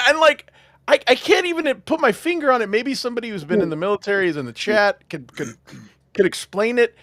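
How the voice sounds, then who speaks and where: A man's voice: extremely high pitch, brisk, fairly bright tone, no room sound, clean studio sound. A man hosting a podcast on a video call, chatting casually and laughing often. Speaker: podcast host.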